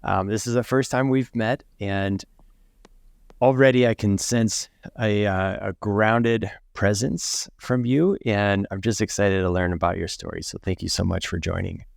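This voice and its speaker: A man's voice: lowish voice, fairly even intonation, unhurried, creaky, no echo, clean studio sound. A man hosting a podcast, upbeat and affirming as he welcomes and questions his guest. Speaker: podcast host.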